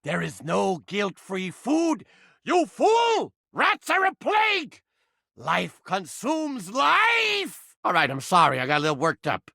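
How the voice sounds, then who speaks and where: A man's voice: sits high, wildly swooping pitch, slow, gravelly, bright, forceful tone, dry recording, studio quality, heavily acted. A man voicing a cranky, foul-mouthed talking rat trap in a video game, with a Brooklyn accent and an abrasive, theatrical, wisecracking delivery. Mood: ranting, then pleading. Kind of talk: exaggerated comedic video game character acting.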